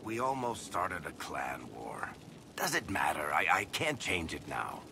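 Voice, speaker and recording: An actor playing a wry, guilt-ridden dwarf storyteller in a video game, blunt and sardonic but hesitant when pressed. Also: male voice, deep voice, huge pitch swings, a little slow, vocal fry, background noise, exaggerated voice. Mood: dry, sardonic.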